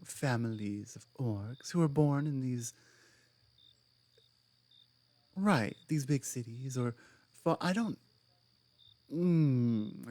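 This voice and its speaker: A woman acting as a proud orc woman from a distant tribe, made for an ASMR audio roleplay. She speaks very slowly and deliberately, with measured pauses. Her manner is earnest, firm and stubborn. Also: a bass voice, dark tone, no room sound, exaggerated voice. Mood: insistent, earnest. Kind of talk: ASMR audio roleplay, one-sided dialogue.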